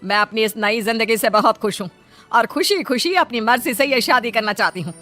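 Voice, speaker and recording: A woman plays a defiant elderly bride in a cartoon tale. The voice is highish and sharp, earnest and emphatic, with music behind it. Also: a little fast. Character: defiant elderly mother-in-law and hopeful bride.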